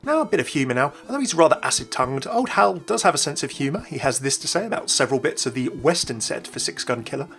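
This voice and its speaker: A man's voice: a little high, animated, fast talker, vocal fry, few pauses, fairly bright tone. A young man hosting a gaming video with scripted narration. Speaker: YouTube gaming host.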